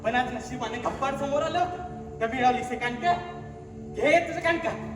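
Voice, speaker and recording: A man's voice: very high voice, normal speed. A man on stage playing a bitter, greedy village husband who berates and mocks his wife. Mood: bitter mocking. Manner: harsh, mocking, berating. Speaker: stage actor. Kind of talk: stage acting in a one-act play. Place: theatre stage. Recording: echoey hall, far mic, music.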